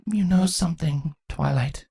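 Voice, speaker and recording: A man voicing an ailing mother changeling queen in a weak, breathy, shaky voice, tender and wry, for a storybook reading. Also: fairly high pitch, measured pace, mellow, no room sound, clean studio sound, pitched above the performer's own voice, exaggerated voice. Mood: tired, musing.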